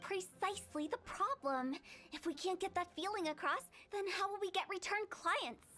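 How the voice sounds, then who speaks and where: A woman's voice: extremely high pitch, normal speed, sharp tone. A woman voicing a chirpy, quirky young female funeral parlor director in a video game, with theatrical, eager sales patter. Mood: earnest. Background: game music.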